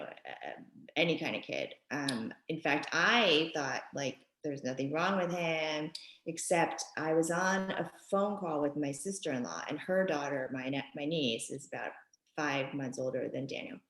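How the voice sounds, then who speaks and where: A middle-aged woman from Japan, talking thoughtfully in an interview with frequent pauses and ums. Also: sits low. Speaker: guest author and mother. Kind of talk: conversational interview. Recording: echoey room.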